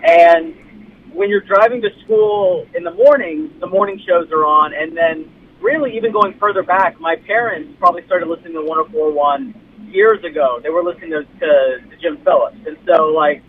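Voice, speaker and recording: A man's voice: on the high side, moderate pace. A young man from the United States, a guest on a call-in chat, explaining things politely with a few hesitations. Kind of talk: casual chat. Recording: tinny phone line with faint noise.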